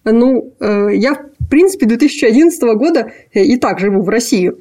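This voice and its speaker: A young woman from Kazakhstan, a graduate student, talking casually in an interview with many pauses and fillers. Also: a little high, expressive, warm tone. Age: young adult. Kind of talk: casual conversation.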